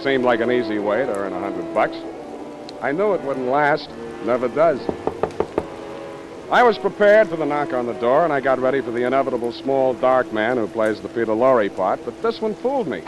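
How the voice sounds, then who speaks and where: An actor playing a cool, sardonic private detective with dry, clipped wisecracks, in 1940s radio noir style. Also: male voice, mid-range voice, very animated, normal speed, over music, lightly acted, low-quality recording. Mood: wry narration.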